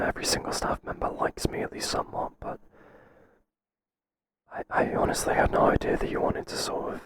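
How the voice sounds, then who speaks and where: A man playing a mild, kindly workplace boss in a whispered ASMR roleplay, soft-spoken and halting with a breathy voice. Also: lightly acted, rough recording. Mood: surprised, shy. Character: mild, hesitant, kindly male workplace boss, flustered by flattery.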